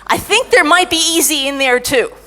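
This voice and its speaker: A middle-aged woman giving a conference talk about technical writing, lively and self-mocking as she tells stories. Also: high-pitched, moderate pace. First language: English. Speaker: conference speaker, technical writer.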